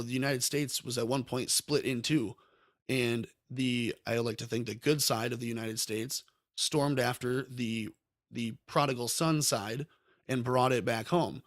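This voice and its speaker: Male host, mid-pitched, meandering political commentary in a dead-dry room. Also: no room sound. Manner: rambling, frequent ums and self-corrections. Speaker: podcast host.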